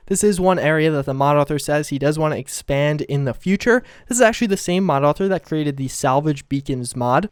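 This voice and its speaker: A man's voice: fairly high pitch, smooth, dry recording, clean studio sound. A young man, a bit sick and losing his voice, explaining a game mod in a rambling, casual way. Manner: rambling and explanatory, with fillers and restarts. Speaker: gaming video host.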